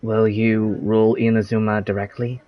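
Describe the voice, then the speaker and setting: A young traveling hero, voiced by an actor, with a mid-pitched everyday voice. He asks plain, curious questions. The audio sounds dull and muffled. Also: little pitch movement, moderate pace, natural voice.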